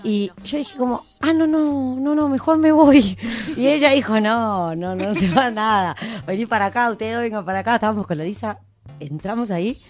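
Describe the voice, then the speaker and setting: A woman's voice: on the high side, moderate pace, faint music behind. A woman with an Argentine accent hosting a radio show, chatting casually and telling anecdotes in slangy, informal language. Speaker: radio host. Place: radio studio.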